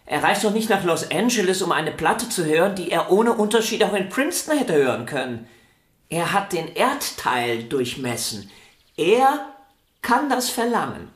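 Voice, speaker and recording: A woman's voice: fairly low pitch, sing-song, moderate pace. A woman voicing a vain, proud young violin prodigy in a radio drama, talking in an arrogant, insistent, theatrical way. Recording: roomy studio echo.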